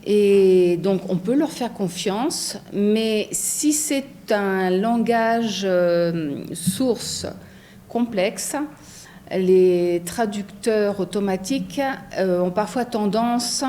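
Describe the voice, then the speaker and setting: Thoughtful woman translator, mellow voice. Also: mid-range voice, very slow. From France. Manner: thoughtful, many fillers, frequent tag "non?". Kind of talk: interview answers.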